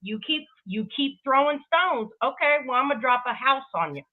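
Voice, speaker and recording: A woman's voice: sits high, lively intonation, moderate pace. A woman ranting casually on a livestream in a blunt, confrontational, swaggering manner, over a thin phone-like connection. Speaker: livestream guest. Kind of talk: casual combative rant. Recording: thin, phone-like band-limited sound.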